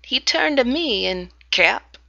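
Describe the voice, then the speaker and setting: A woman reading a narrative poem aloud, dramatic, with hushed whispered passages. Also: mid-range voice, animated, slow pace, creaky, no room sound, muffled recording. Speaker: amateur reader.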